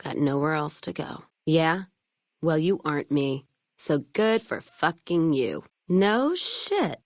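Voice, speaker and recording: A tough female caravan boss from a video game, pitched low for a woman. She is blunt and sardonic, swears freely and sounds worn down by a hard-drinking life. The sound is dry, with no room to it. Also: sing-song, slow pace, dry recording, exaggerated voice. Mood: angry, scornful. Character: tough, hard-drinking, foul-mouthed, world-weary female caravan owner. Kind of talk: video game character dialogue.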